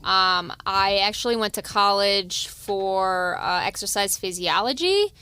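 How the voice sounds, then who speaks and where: A woman in her thirties, a police officer from West Virginia, talks in a light, youthful voice with a bright, smooth ring. She speaks softly, with many fillers, and is recorded close and dry. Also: medium pitch, lively intonation, a little slow, dry recording. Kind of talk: casual interview.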